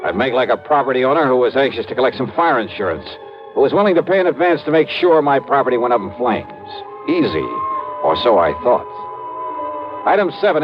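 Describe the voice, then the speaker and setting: An actor playing a shrewd, dry-witted insurance investigator in an old radio drama, speaking in a clipped, wry way. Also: male voice, mid-range voice, lo-fi sound, natural voice. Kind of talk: 1940s-50s radio detective acting. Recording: band-limited old radio recording, faint music.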